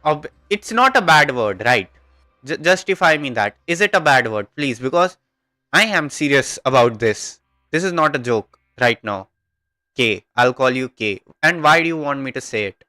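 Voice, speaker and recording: A man's voice: medium pitch, expressive, moderate pace, sharp tone, no room sound, studio quality, soft background music. A man with an Indian accent chatting casually with viewers on a gaming livestream, informal and hesitant, often repeating himself.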